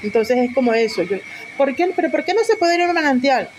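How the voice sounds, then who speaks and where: A woman speaks in a highish voice over clear outdoor noise. She talks spontaneously as a local interviewee, stressing and repeating her points with rhetorical questions. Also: expressive, brisk. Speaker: local community leader interviewee.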